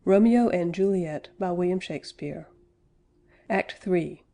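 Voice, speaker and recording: Female narrator for play headings and stage directions, soft dark tone, unhurried, slightly low pitch. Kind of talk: scripted reading of stage directions.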